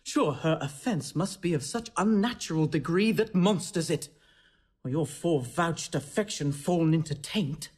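Husky, courtly foreign king. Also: on the high side, animated, moderate pace. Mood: astonished, questioning. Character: courtly king of France, earnest and incredulous. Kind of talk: classical Shakespearean audio drama acting.

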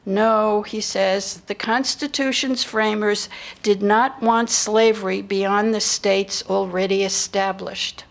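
A woman's voice: medium pitch, steady tone, slow pace, vocal fry. An older woman reading scripted historical narration into a lectern microphone. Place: indoor hall with seated audience.

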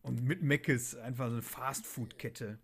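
A man's voice: medium pitch, measured pace, creaky, a bit bright. A man chatting casually on a podcast, retelling things in colloquial language. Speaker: podcast host. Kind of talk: casual chat.

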